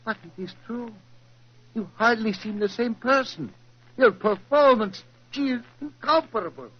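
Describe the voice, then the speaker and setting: A man's voice: very high voice, lively intonation, unhurried, husky, exaggerated voice. An actor playing an effusive, gushing theatrical man in 1940s radio style. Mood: gushing praise. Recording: muffled, noisy 1940s radio recording.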